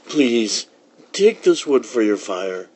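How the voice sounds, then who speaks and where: A man's voice: medium pitch, big pitch swings, slow, darkish tone, slightly rough, heavily acted. A man voicing a kind, eager young girl, a caring big sister, in a children's storybook.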